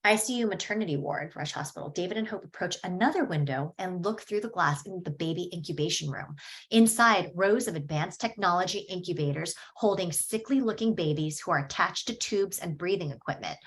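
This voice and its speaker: Casting: a woman with a lowish voice reading stage directions steadily. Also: expressive, fairly quick. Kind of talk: table read narration.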